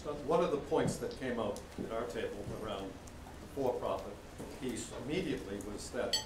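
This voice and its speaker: A man reporting his table's points in a casual group discussion, speaking in a measured way. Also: mid-range voice, expressive. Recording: distant, roomy, noisy.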